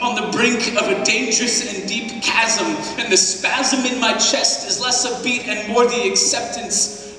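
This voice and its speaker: Spoken word poet man in an echoey hall. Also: high voice. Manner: rhythmic rhyming delivery, rising from hushed to impassioned. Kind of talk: spoken word poetry performance. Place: dark theatre stage. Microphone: headset mic. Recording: echoey hall from far off with loud music bed.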